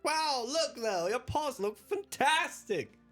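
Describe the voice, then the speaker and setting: Man acting an apologetic game character, raised pitch, polite, coaxing, eager, music in the background. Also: animated, slow, fairly bright tone, exaggerated voice. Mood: enthusiastic. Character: eager, polite, apologetic human pampering a cat. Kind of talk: acted reading of a visual novel. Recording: music behind the voice.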